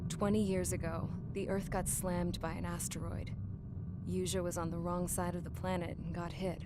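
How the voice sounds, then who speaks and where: A woman's voice: lowish voice, flat delivery, moderate pace, heavy background noise, lightly acted. An actress playing a weary, tough young woman telling her story in a plain-spoken, wry, reflective way. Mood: bitter, subdued. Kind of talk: reflective first-person story narration. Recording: loud music behind the voice.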